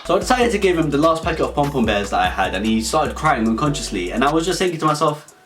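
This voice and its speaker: A man's voice: a little high, very animated, quick pace, fairly bright tone. A British man delivering an absurd comedic storytelling monologue in a rambling, mock-serious way. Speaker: comedy video creator. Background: background music.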